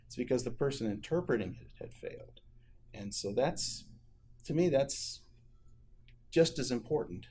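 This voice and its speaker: A man's voice: mid-range voice, lively intonation, measured pace, pauses often, darkish tone, slight background noise. A man speaking earnestly and with emphasis as a musician explaining his craft in an interview. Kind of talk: candid interview talk about music.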